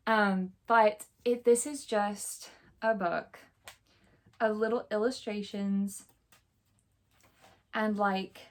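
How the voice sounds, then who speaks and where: A woman with a hoarse, scratchy throat, chatting casually and enthusiastically about the books she bought, with plenty of fillers. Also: mid-range voice. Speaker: book vlogger. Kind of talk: casual chat showing a book haul.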